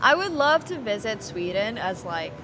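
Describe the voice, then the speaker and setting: Woman chatting over clear rumbling noise. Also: sing-song, moderate pace. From Finland. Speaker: live streamer. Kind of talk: casual chat while playing poker, answering viewers.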